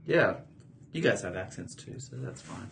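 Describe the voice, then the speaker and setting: A man's voice: low-pitched, expressive, moderate pace, creaky, a little hiss or hum. A man with an Australian accent chatting as a guest on a design podcast, with dry, joking delivery. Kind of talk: casual podcast chat.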